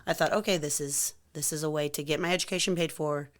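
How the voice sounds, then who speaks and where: A woman in her thirties, a softball coach, chatting casually and self-deprecatingly in a podcast interview. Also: deep voice, fairly even intonation, quick pace. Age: 30s.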